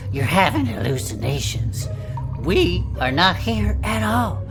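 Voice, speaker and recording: A woman's voice: lowish voice, sing-song, measured pace, music in the background. A woman improvising in character as a player in a tabletop game.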